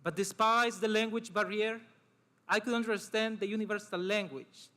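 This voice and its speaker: Bright-voiced young man. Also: high-pitched, steady tone. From El Salvador. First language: Spanish. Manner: earnest, measured, short phrases with pauses. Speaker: student commencement speaker. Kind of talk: formal prepared speech.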